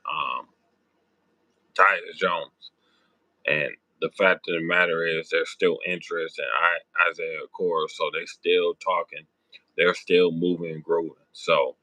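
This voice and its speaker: A young man with a sick, hoarse, raspy voice, rambling through casual sports talk with lots of ums and slang. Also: sharp tone, no echo, poor audio. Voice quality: deep raspy voice. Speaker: sports podcast host.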